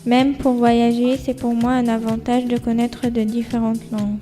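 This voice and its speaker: A woman from Egypt speaks as a student guest on school radio, reading a prepared account carefully. Her voice sits a little high yet sounds mellow and dark, with a flat, even melody. Faint music plays behind her. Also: normal speed.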